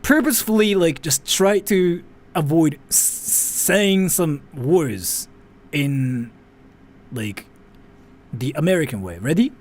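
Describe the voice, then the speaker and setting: A man from Japan hosting a livestream, chatting casually as he reads viewer comments, animated and defensive, with lots of restarts. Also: on the high side, takes it slowly. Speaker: livestream host.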